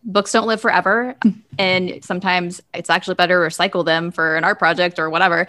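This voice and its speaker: A woman's voice: on the low side, steady tone, fast talker, sharp tone. Chatty woman hosting a casual discussion. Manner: chatty, informal, frequent "like". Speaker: podcast host.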